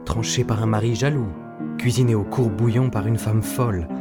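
An actor playing the narrator of a tall tale, with measured storybook telling in a radio fiction. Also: male voice, on the low side, fairly even intonation, natural voice. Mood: measured storytelling. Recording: loud guitar music behind.

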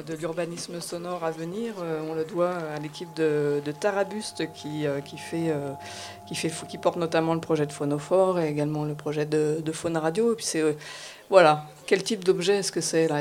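A man's voice: fairly high pitch, a little mellow. A man hosting an informal radio panel, asking roundabout questions with hesitant phrasing. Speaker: panel host. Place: radio-style panel recording. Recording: faint hum and background noise.

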